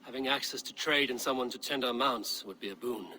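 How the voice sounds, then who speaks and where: Cool confident viking for a game, medium voice, really forceful edge. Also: male voice, medium pitch, brisk. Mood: assured. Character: confident, shrewd Viking warrior with dry approval.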